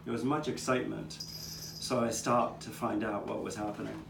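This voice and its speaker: A man's voice: lowish voice, flat delivery, normal speed, gravelly. A man performing first-person historical storytelling as the narrator of a live puppet show, playing a neighborhood eyewitness. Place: outdoor courtyard performance. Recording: roomy, distant, noisy live courtyard recording.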